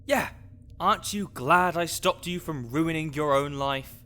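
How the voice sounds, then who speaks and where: A man's voice: fairly high pitch, a little slow, sharp tone, a little hiss or hum. A man playing a grouchy, fussy necromancer researcher in an audio roleplay, sarcastic and wordy as he speaks to the listener. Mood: dry, explanatory.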